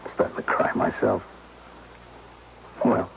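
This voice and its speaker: A man acting in a radio drama as an earnest, compassionate character who muses haltingly on how hard life is. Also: natural voice. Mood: compassionate, moved. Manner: halting, reflective, repeating himself for emphasis. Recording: dull, noisy old radio recording.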